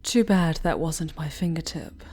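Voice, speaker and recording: A woman playing a seductive, sadistic dark elf noblewoman in an intimate ASMR roleplay, breathy and often whispering, haughty and commanding. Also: deep voice. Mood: mocking menace. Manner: slow, sultry, haughty and commanding. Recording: clearly audible background noise.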